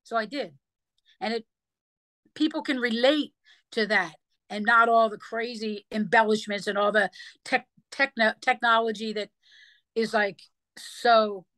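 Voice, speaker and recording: A woman singer telling stories in a casual interview, animated and given to scatting. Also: fairly high pitch, unhurried, long pauses, no echo. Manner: animated storyteller who breaks into scat sounds. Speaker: singer and guest.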